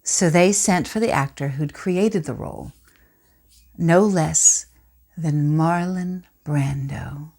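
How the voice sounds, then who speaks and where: A woman playing a reflective, intense stage actress in a theatre monologue, speaking deliberately with dramatic pauses. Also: sits low, darkish tone, heavily acted. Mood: wry reminiscence. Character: poised stage actress recalling past performances, intense and reflective.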